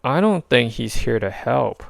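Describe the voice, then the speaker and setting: A man's voice: mid-range voice, big pitch swings, a little slow, gravelly, no echo, heavily acted. A man voicing a direct, level-headed alien warrior woman in a children's story, firm and succinct.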